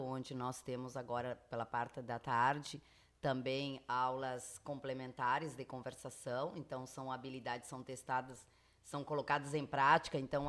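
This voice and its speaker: A woman with a Spanish accent, a teacher talking in a measured, explanatory way on a school podcast, with many fillers. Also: extremely low pitch, fairly bright tone.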